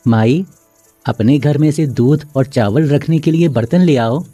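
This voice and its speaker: A man voicing a gentle, calm god in the form of a boy in a storybook tale. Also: on the high side, unhurried, dry recording, soft background music. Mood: calm, instructing. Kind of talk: acted storybook dialogue.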